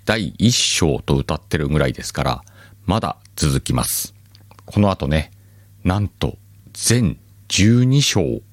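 Rich: A male podcast host with a very low voice that still moves lively up and down, chatting casually. He is recorded dry and close. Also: dry recording. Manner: relaxed, chuckling asides. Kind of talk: casual chat.

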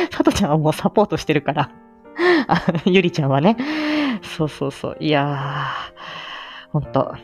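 A middle-aged woman hosts a casual livestream in a fairly low voice. Her intonation moves up and down freely as she chats in a playful, self-deprecating way. Music plays softly behind her. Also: lively intonation, unhurried, long pauses. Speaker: livestream host.

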